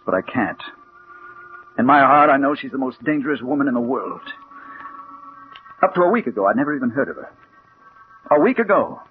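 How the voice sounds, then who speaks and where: Hard-boiled reporter, tinny old radio. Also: male voice, medium pitch, husky. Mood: brooding. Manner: hard-boiled, clipped, wry. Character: wary, wisecracking male newspaper reporter. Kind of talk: 1940s radio drama acting. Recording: tinny, noisy 1940s radio recording.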